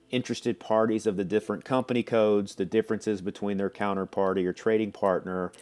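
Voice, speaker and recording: A man presenting a software demo, explaining step by step in a conversational way. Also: on the low side. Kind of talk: explanatory product demo walkthrough.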